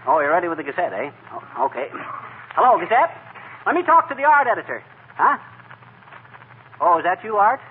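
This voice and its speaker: Cocky slangy radio-comedy husband: high-pitched, animated, folksy, on a crackly 1940s broadcast. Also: male voice, fairly quick, pauses often. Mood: eager. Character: bumbling, cocky, slangy middle-aged husband. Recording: noisy, tinny 1940s radio recording.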